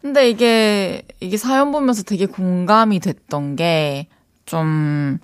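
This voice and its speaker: A woman's voice: mid-range voice, slow pace, no echo, studio quality. A young woman from the Gyeongsang region with a Korean Gyeongsang accent, co-hosting a casual chat, playful and teasing, laughing often. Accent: Gyeongsang dialect of Korean. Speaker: radio co-host.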